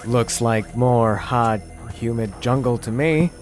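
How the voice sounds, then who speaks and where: An actor playing an eager, apologetic young private in an audio drama, speaking earnestly. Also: male voice, mid-range voice, takes it slowly, natural voice. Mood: chipper. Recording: music behind the voice.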